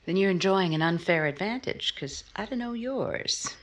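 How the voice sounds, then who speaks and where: A woman's voice: mid-range voice, animated, normal speed, close to an everyday voice. A woman playing a candid, probing, wry romantic lead in a 1950s-style radio drama, often with short, pointed questions. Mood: playful. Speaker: actor in an audio drama. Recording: clear boat and waterfront ambience noise. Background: boat and water sound effects.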